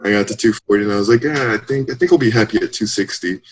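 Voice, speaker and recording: A man's voice: on the low side, rapid-fire, slightly rough. A man in his late twenties, a guest in a casual interview, talking in a thoughtful, candid way and laughing between points. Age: 20s.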